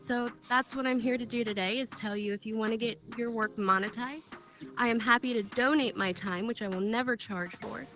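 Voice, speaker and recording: A woman giving a direct, emphatic talk, her voice sitting a little high. Music plays clearly behind her throughout. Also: fairly quick, sharp tone. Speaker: event speaker. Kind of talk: prepared speech at a virtual event.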